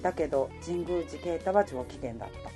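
A woman's voice: low-pitched, expressive, brisk, faint music behind. A woman playing a romantic, easily flustered working woman, in radio drama dialogue and first-person narration. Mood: subdued.

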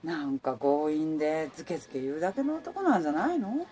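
A woman's voice: low voice, animated, brisk, warm tone, a little hiss or hum. A woman playing a level-headed heroine in her thirties who also narrates her romance in a Japanese radio drama. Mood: indifferent.

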